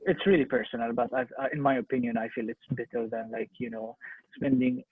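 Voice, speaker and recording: A young man with a Syrian Arabic accent answering interview questions as a physician, with husky, halting speech and repeated words. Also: medium pitch, expressive. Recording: muffled phone-like internet call, echoey room.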